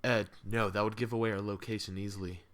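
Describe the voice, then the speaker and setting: Man playing low-voiced hardened survivor. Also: little pitch movement, quick pace, fairly bright tone, natural voice. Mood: terse, commanding. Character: Jack, hardened veteran survivor and father, commanding, self-sacrificing. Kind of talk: amateur audio fiction acting.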